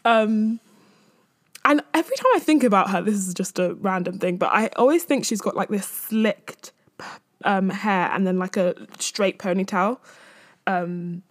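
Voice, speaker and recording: Mid twenties girl doing a chatty solo podcast, candid and rambly, medium pitch, really dry close mic. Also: a little slow, dry recording. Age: 20s. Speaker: podcast host.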